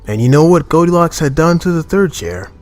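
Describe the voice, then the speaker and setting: Lively male children's storyteller. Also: fairly high pitch, fairly quick, faint music behind. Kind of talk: scripted children's storybook narration.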